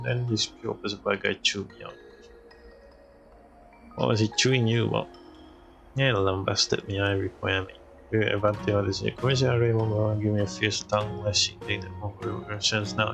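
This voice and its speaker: A man's voice: fairly low pitch, level tone, a little fast, vocal fry, fairly bright tone, faint music behind. A man streaming a game, casually reacting and muttering along in a loose, mumbled way. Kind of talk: casual reactions and reading along while playing.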